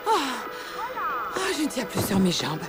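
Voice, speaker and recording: A woman's voice: mid-range voice, big pitch swings, normal speed, lightly acted. A woman voicing a polite, easily flustered mother elephant in a children's cartoon, courteous and hesitant. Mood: reluctant, strained. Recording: loud music behind the voice.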